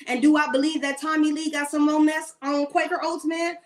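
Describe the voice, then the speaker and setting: Sassy high-voiced woman ranting. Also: fairly even intonation, a little fast. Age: 30s. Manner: sassy, blunt, rambling rant. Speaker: commentator. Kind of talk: casual celebrity gossip commentary.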